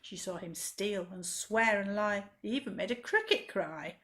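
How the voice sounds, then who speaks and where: A woman's voice: medium pitch, measured pace, a bit bright. A woman reading a rhyming children's story expressively, with dramatic emphasis, as a library storyteller.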